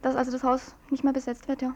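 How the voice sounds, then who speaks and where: A woman's voice: high-pitched, completely flat delivery, quick pace, mellow. A young woman, a student activist, answering informally in an interview and often tagging her phrases.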